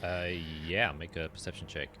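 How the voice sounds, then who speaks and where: Very deep-voiced man running a tabletop game. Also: steady tone, moderate pace, background noise. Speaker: game master. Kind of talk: narrating a tabletop roleplaying game.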